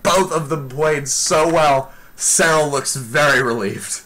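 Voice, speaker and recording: Excitable man with a tired voice calling a match. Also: fairly high pitch. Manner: excitable, exclaims often, talks fast in bursts. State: losing his voice. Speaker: esports commentator. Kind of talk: excited live play-by-play commentary.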